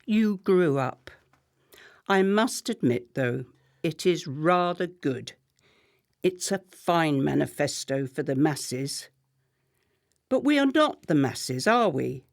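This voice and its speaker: A woman playing a haughty, scornful and ambitious wife in a radio play, speaking in a measured, cutting way. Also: low voice, big pitch swings, gravelly, heavily acted. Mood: cool, haughty. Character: cold, scornful, ambitious wife of a failing politician.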